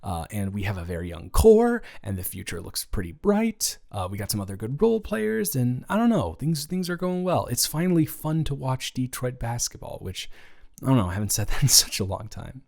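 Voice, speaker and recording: A man plays a young male roommate in an improvised comfort roleplay. He talks in an everyday, medium-pitched voice, caring and a little awkward. His delivery is conversational and rambling, with hesitant stammers and a reassuring manner. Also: very animated, fairly quick, vocal fry, darkish tone. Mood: casual rambling. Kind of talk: improvised intimate roleplay audio, casual and conversational.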